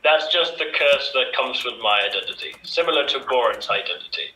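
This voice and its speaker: A man's voice: mid-range voice, expressive, fairly quick, bright, forceful tone, slight background noise. A man playing a cagey, smug masked man on a video call, in a roomy space. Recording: roomy distant mic.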